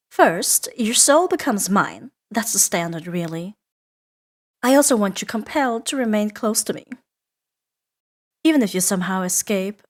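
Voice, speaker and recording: A woman voicing a seductive, menacing and playful female demon in a roleplay ASMR audio drama, teasing and imperious, drifting into breathy whispers. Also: medium pitch.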